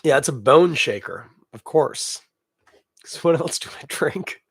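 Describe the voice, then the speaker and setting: A middle-aged man, hoarse and raspy from a cold, rambling and self-deprecating as he chats to live viewers as a podcast host. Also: a little high, expressive, a little slow, dry recording, studio quality. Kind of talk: casual solo podcast chat.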